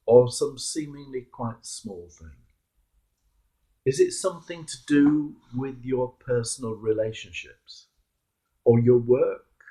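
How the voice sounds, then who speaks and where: A British man preaches a reflective sermon in a dark, relaxed voice with a gravelly edge. He moves slowly and earnestly through stories and searching questions, measuring out each phrase. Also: medium pitch, rough recording. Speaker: clergyman giving a Holy Week reflection.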